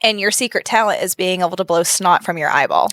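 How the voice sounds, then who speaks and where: A woman's voice: lowish voice, expressive, a bit bright, no echo. Easygoing woman podcast co-host. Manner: easygoing, quick to laugh along. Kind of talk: casual chat. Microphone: desk mic on a mic arm.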